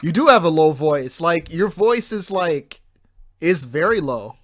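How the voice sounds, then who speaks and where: A man hosting a podcast, with a voice pitched a bit above most men's. He keeps things relaxed and easygoing, dropping short replies and quiet chuckles while his guest talks. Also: measured pace, a little hiss or hum. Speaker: podcast host. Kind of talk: casual podcast interview.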